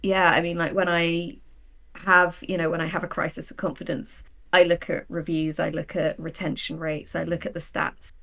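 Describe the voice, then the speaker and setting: A woman chatting as an interview guest about her writing, telling stories in an animated, self-deprecating, wry way. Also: lowish voice, moderate pace. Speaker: guest, writer of audio drama. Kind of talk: casual interview chat, personal anecdotes.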